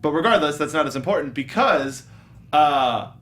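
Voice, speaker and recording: A man's voice: a little high, lively intonation, moderate pace, a bit bright. A man with an American accent hosting a movie review show, switching between upbeat announcing and casual panel chat.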